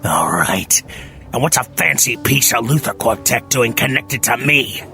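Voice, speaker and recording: An actor playing a gruff, wary criminal enforcer in an audio drama, blunt and suspicious in manner. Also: male voice, mid-range voice, animated, measured pace, pushed and bright, faint music behind, full character voice.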